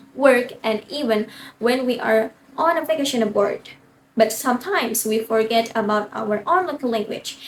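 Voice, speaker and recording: A woman's voice: a little high, a little hiss or hum. A young woman from Indonesia, giving a rhetorical competition speech and asking her audience direct questions. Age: young adult. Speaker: student speech contestant. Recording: roomy echo.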